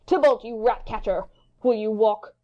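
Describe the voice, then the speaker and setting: A woman's voice: a little high, normal speed, mellow, lo-fi sound, natural voice. A woman playing a hot-headed, witty young swordsman in a Shakespeare audiobook, taunting and theatrical in long-winded speeches. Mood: scornful, taunting. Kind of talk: Shakespeare audiobook dramatic reading.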